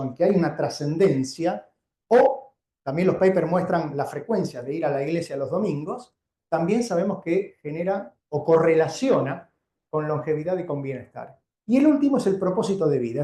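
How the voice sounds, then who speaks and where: Male Argentine lecturer, informal and explanatory, mellow timbre, clearly reverberant room. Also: a little high. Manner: conversational, didactic, frequent check-in questions. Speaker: doctor giving a keynote lecture. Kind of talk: informal public lecture to a live audience. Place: indoor lecture venue before an audience. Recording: roomy, echoing live lecture sound.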